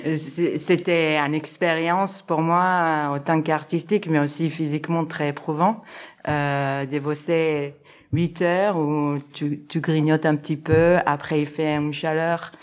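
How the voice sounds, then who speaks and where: A woman's voice: low-pitched, fairly even intonation, measured pace. A woman from France, the artistic co-director, answering interview questions casually with lots of hesitant fillers. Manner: hesitant, many fillers, laughs easily.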